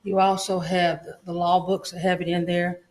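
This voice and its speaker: A woman's voice: fairly low pitch, little pitch movement, normal speed, a little mellow, poor audio. A woman testifying as a county court clerk, polite and matter-of-fact. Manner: polite, brief answers, "yes, sir".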